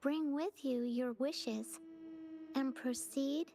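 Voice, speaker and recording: A woman voicing a gentle young female companion in an anime game, reading riddles softly like a storybook. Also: sits high, fairly even intonation, slow pace. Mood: gentle, solemn. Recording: music under the voice.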